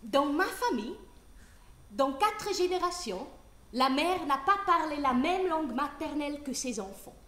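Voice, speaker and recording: A woman's voice: high-pitched, lively intonation, measured pace, a bit bright. A woman with a foreign accent, performing a playful personal monologue on a theatre stage and laughing through her words. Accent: foreign accent in French. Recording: echoey theatre hall.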